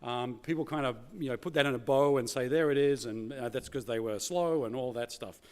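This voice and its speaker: An Australian man speaks as a keynote presenter at a conference. His pitch is average for a man, and his delivery is conversational and informal, with frequent casual tags and checks with his listeners. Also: fairly quick, darkish tone, smooth. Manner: conversational, often saying "you know", "kind of" and "right?".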